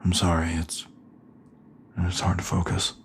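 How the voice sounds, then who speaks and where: A man playing a shaken, weary sergeant who speaks hesitantly and haltingly in a sci-fi drama. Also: deep voice, monotone. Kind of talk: dramatic sci-fi audio acting. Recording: clear background ambient noise.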